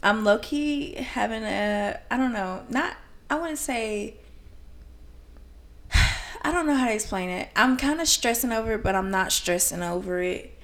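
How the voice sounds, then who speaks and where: A woman's voice: on the high side, animated, unhurried, a bit bright. A young woman co-hosting a podcast in casual chat, rambling and self-conscious with a slight lisp, laughing often. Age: young adult. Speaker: podcast co-host.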